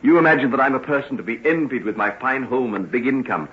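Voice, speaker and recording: An actor playing a troubled, remorseful gentleman doctor, earnest and theatrical, in an old-time radio drama. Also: mid-range voice, lively intonation, quick pace, lightly acted. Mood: anguished, remorseful. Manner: earnest, theatrical, formal phrasing. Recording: muffled, noisy old radio recording.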